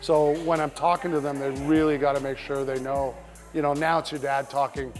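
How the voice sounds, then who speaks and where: Earnest father with a higher voice. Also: level tone, brisk, over music. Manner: earnest, reflective. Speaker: father and coach. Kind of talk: documentary interview.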